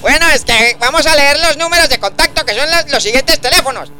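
A man's voice: very high voice, brisk, voice pushed up. A man putting on a chirpy radio sidekick voice who reads out contact numbers in a patter.

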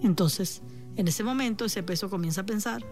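A woman with a Venezuelan accent speaking on the radio as a therapist, calm and reassuring, addressing the listener directly. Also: mid-range voice, normal speed, soft background music. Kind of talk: supportive radio talk.

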